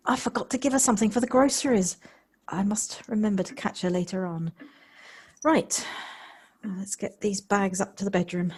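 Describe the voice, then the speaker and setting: A woman's voice: mid-range voice, breathy edge. A woman acting in an audio play as an anxious, polite newcomer to the countryside, speaking in hesitant, formal, wordy sentences. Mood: flustered, musing. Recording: home recording on personal device, noisy.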